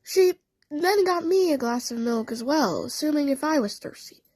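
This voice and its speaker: Female narrator for an amateur audio play, very high voice, halting delivery of a first-person tale. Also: lively intonation, darkish tone, no room sound.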